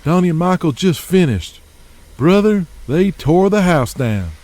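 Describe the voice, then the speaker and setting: A man voicing an excitable, candid backstage wrestling man with slangy, animated talk in an audio drama. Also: measured pace. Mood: impressed, defensive. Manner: slangy, animated, high-pitched. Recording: loud music behind.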